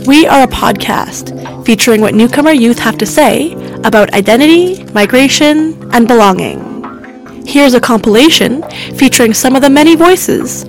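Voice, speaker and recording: Woman host over soft music. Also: high voice, expressive, normal speed. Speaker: podcast host. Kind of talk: scripted introduction.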